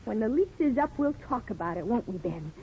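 High voiced small town wife, old radio drama, emotional, tinny recording. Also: female voice, big pitch swings, fairly quick, slight background noise. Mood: bitter, angry. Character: bitter, lonely small-town young wife and mother. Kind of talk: 1950s radio drama acting.

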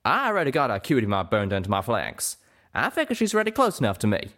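Gruff winged-horse cowpoke, played by a man: Southern drawl, folksy, protective and quick-tempered, very animated delivery for a storybook. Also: mid-range voice, pitched above the performer's own voice. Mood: gentle coaxing. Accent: American Southern. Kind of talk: audiobook character voice.

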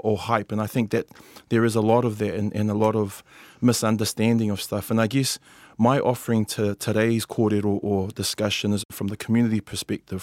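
Low-voiced New man, halting speech. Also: darkish tone, dry recording. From New Zealand. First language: Samoan. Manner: hesitant, many filler sounds and restarts. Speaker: lawyer and policy advisor, podcast guest. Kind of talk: casual conversational introduction.

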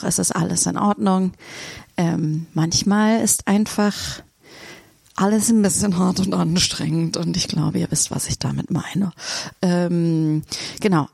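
Female podcast host, casual solo chat, playful and jokey, creaky fry, dry close sound. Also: medium pitch, darkish tone, dry recording. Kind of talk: casual chatty solo announcement.

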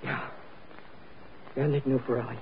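An actor playing a wounded, frightened young fugitive in an old-time radio drama, halting, strained and pleading. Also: male voice, medium pitch, flat delivery, slightly rough. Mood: weary, halting. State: exhausted, strained. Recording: muffled, faintly noisy vintage radio recording.

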